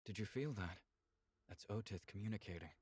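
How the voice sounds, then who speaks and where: A man voicing a gentle, earnest alien man from a visual novel, measured and explanatory in manner. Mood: calm, reassuring. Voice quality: low, gravelly.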